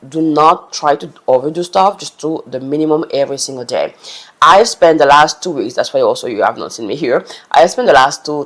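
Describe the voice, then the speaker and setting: Chatty woman vlogger. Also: deep voice. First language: French. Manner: chatty and earnest, loose non-native phrasing. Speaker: language-learning vlogger. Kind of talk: casual advice talk to camera.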